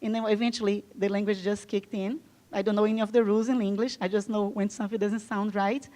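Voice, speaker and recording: A woman from Brazil, a native Portuguese speaker, speaks to a live audience at a design event. She talks with enthusiasm in a chatty, loose way, often leaning on fillers, at a medium pitch. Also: level tone, fast talker, mellow. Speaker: guest speaker. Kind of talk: conference talk.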